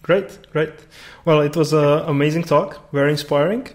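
A man hosting an interview, asking questions in a hesitant, friendly way with many pauses. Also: on the high side. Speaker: interviewer, host. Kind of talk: casual interview talk.

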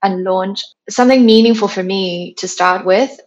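A chatty woman from Thailand in her thirties, telling her story as a podcast guest and leaning on "like" as she goes. Her voice sits at a middle pitch. The recording is a little dull and lo-fi. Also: moderate pace. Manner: chatty, frequent "like", animated retelling. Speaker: founder, interview guest. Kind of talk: casual interview conversation. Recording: slightly dull lo-fi sound.

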